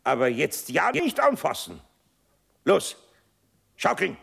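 An actor playing a gruff but good-natured older master craftsman with a Bavarian accent and a husky voice, in a children's radio play. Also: a little high, wildly swooping pitch, slow pace, fairly bright tone, heavily acted. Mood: exasperated, bluffing. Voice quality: gravelly, husky.

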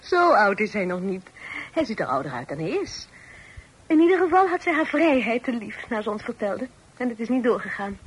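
Period radio drama: playful young sister, high and very animated, teasing, old-fashioned diction. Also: female voice, moderate pace, slightly rough, rough recording. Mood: confiding, gossipy. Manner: playful, probing, formal old-fashioned phrasing. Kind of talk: Dutch radio play acting.

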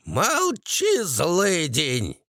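Man putting on a shrill raging old crone. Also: huge pitch swings, bright, forceful tone, no room sound, clean studio sound, exaggerated voice. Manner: cackling, scolding, drawn-out rustic speech. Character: vicious triumphant old crone mother-in-law, shrill and raging.

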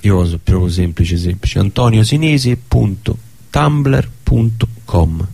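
A man with a fairly low voice and a Roman Italian accent. He is a guest in a casual radio interview, speaking in an informal, bantering way. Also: a little slow, gravelly, mellow. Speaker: radio guest, theatre maker.

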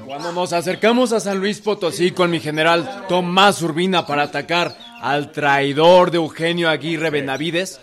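A man's voice: on the high side, normal speed, hardly any pauses, over music, lightly acted. A man playing a jocular revolutionary soldier telling a campaign tale in a radio drama. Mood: amused. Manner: colloquial, teasing.

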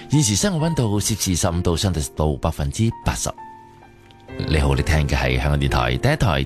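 A man with a Hong Kong Cantonese accent presenting late-night radio continuity and weather. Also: a bass voice. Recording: studio voice over music. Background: music.

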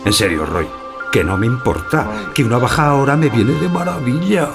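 A man's voice: medium pitch, animated, normal speed. An actor playing a breezy, placating man in comic radio theatre, casual and wheedling in his delivery. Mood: placating, flippant. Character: breezy, placating man bargaining with a dying android. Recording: music clearly behind the voice.

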